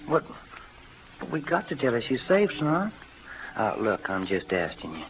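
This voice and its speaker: A man's voice: mid-range voice, huge pitch swings, normal speed. An actor playing an easygoing, modest cowboy gunman with an American Western accent and wry, slangy drawl in an old radio western. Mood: wry, eager. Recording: tinny, noisy old radio recording.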